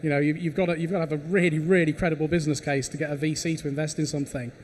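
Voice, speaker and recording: A man's voice: a little high, level tone, racing, slight background noise. A man speaking as a panelist in a panel discussion, stammering on restarts as he builds his point.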